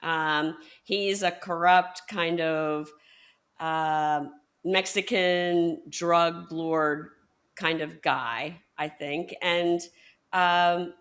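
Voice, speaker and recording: A woman hosting a book podcast, summarizing a story in a measured way with frequent ums. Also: fairly low pitch, a bit bright. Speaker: podcast host. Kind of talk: interview podcast.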